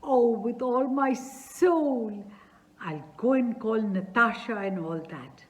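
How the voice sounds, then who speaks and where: Woman doing fussy old landowner, gushing endearments, high excited voice, slow reading. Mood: overjoyed. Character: effusive fussy older male landowner, gushing. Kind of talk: reading a play aloud.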